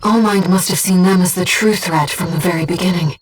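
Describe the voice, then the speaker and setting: A woman voicing a gentle, disembodied young female companion in a video game, giving a mercenary pilot composed, earnest and precise tactical reports in a soft, breathy voice. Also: fairly low pitch, fairly even intonation, a little fast, recorded from a distance, poor audio. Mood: troubled, solemn. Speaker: mission operator companion.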